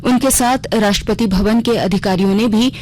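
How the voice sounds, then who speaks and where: A woman's voice: mid-range voice, normal speed, a little mellow, slight background noise. A woman reading a scripted regional news bulletin on the radio as the news reader.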